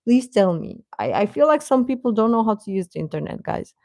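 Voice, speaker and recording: A woman chatting casually as host of a live stream, rambling and halting, with a sarcastic streak. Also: on the high side, big pitch swings, creaky, darkish tone, no echo, clean studio sound. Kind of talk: casual live-stream chat about pop fandom.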